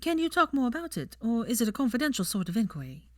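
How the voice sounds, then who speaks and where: A woman's voice: a little high, big pitch swings, quick pace, no echo, clean studio sound, voice pushed up. A woman voicing an earnest, bookish young witch in an audiobook, with an airy, polite manner. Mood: curious. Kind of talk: audiobook character voice.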